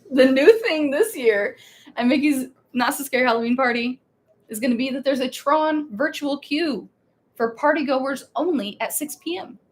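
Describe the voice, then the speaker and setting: A woman's voice: high-pitched, normal speed. A woman co-hosting a casual theme park live stream, chatty and laughing, rambling with restarts.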